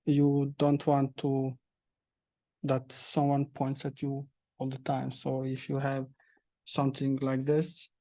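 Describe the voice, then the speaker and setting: A young man from Bosnia, speaking as a panel guest with many pauses and restarts. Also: medium pitch, mellow, no echo. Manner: halting, many hesitations and restarts. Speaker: panel guest, prosthesis user. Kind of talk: panel discussion answers.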